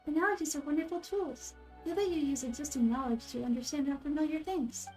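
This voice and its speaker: A woman voicing a gentle, wise little girl deity from a video game, speaking in a calm, measured, explanatory way. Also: high-pitched, faint music behind, natural voice. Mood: calm, knowing. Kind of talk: video game character dubbing.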